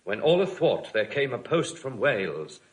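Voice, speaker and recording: A man's voice: medium pitch, very animated, moderate pace, close to an everyday voice, rough recording. An actor playing a dutiful nobleman in classical Shakespearean audio drama, delivering news in a grave, formal, declamatory manner. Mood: grave, urgent. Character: dutiful English nobleman reporting grim war news.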